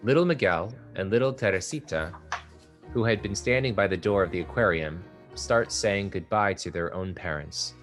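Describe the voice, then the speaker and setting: A man calmly reading stage directions aloud at a play table read. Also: sits low, moderate pace, soft background music. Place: art exhibition theater area.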